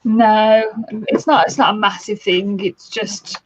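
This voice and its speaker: A husky woman explains things in a friendly, easygoing way, restarting her phrases now and then. The sound is dry and close, with dull lo-fi video call quality. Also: medium pitch, steady tone, takes it slowly, dry recording. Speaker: reseller guest. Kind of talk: casual livestream chat.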